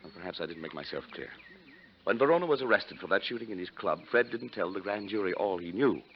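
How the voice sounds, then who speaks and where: Pompous, fussy, low-voiced rich schemer. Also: male voice, animated, fast talker. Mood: pompous, scheming. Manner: pompous, florid, flustered hesitations. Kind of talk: 1940s radio acting. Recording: muffled, noisy 1940s radio recording.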